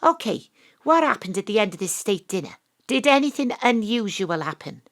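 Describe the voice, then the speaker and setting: A woman's voice: medium pitch, sing-song, normal speed, a bit bright. An actress playing a dry, sardonic woman detective who questions suspects and narrates her case in an audio drama. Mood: probing, brisk.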